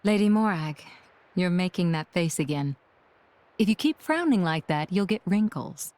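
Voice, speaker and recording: An actress voicing a calm, polite female knight companion. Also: lowish voice, normal speed, natural voice. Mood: composed.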